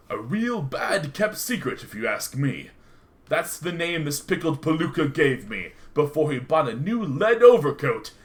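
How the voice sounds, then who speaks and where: A man playing a hardboiled private detective, narrating his noir case in a wry, slangy radio-play style. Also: a little high. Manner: dramatic, slangy, wry storytelling. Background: music.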